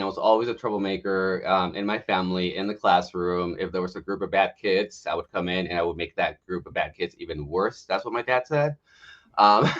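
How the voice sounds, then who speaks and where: A man's voice: on the low side, a bit bright, dull audio. A man in his thirties from Mexico and the US, telling his story casually and candidly as a guest. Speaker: podcast guest, health coach. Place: remote online livestream call.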